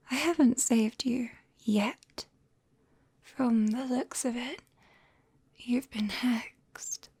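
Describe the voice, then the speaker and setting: A British woman playing a caring, clumsy young witch in a soft, often whispered ASMR roleplay, breathy and gently teasing, close to the mic. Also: on the high side, no room sound, heavily acted. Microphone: close binaural microphone, dry.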